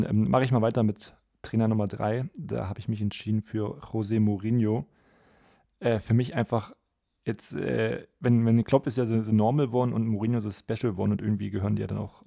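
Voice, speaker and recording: Mellow, creaky man with flat intonation. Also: lowish voice, normal speed, no room sound, clean studio sound. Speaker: football podcast co-host. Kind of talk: casual conversational football discussion.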